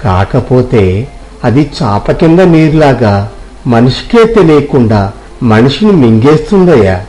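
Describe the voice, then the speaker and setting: A village doctor played by a man talks at a medium pitch. He is concerned and careful, instructing like a physician in an acted moral tale. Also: animated, a little slow, mellow, natural voice, low-quality recording. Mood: reassuring, cautioning. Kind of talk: acted Telugu moral story.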